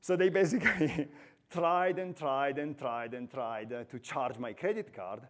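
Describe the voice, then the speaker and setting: A man's voice: medium pitch, measured pace, fairly bright tone. Italian man giving a conference talk. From Italy. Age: 40s. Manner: chatty storytelling with self-deprecating humor. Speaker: conference speaker. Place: conference stage in a hall. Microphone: headset mic.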